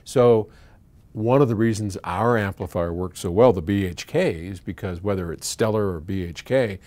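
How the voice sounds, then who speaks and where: A man's voice: on the low side, normal speed, dark tone. An American man in his sixties explains things informally to camera, opinionated and conversational, in stop-and-start phrases. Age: 60s. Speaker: audio company founder answering listener questions. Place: indoors in an electronics workshop.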